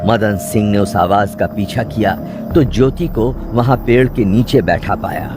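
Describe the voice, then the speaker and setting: Low-voiced male suspense narrator over music. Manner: dramatic, measured storytelling. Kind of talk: scripted suspense story narration.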